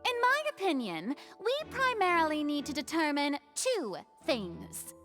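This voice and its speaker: An actress playing a formal, theatrical young woman who questions people deliberately in a video game courtroom drama. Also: high voice, big pitch swings, a bit bright, faint music behind, exaggerated voice. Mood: measured, probing.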